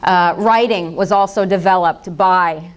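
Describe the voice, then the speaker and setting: Middle-aged woman speaker at a public talk, direct and impassioned, a smooth, slightly low voice. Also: unhurried. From United States. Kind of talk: public lecture.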